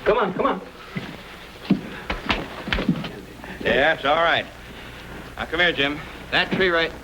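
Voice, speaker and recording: An actor playing a proud, kindly frontier bladesmith with an assured, measured manner in an old-time western radio drama. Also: male voice, on the high side, expressive, background noise, lightly acted. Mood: eager. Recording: old radio recording with music.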